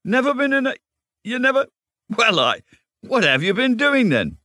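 A man's voice: deep voice, big pitch swings, normal speed, fairly bright tone, dry recording, clean studio sound, heavily acted. A man voicing a genial, dreamy water rat from a storybook, enthusiastic and easygoing about life on the river. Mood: astonished. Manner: enthusiastic, effusive. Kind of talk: storybook character reading.

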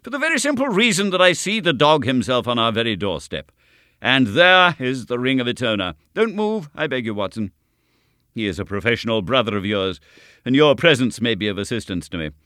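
A man voicing a brisk, confident male detective who speaks in a precise, declamatory way in an audiobook reading. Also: medium pitch, sing-song, sharp tone, dry recording, clean studio sound, pitched above the performer's own voice.

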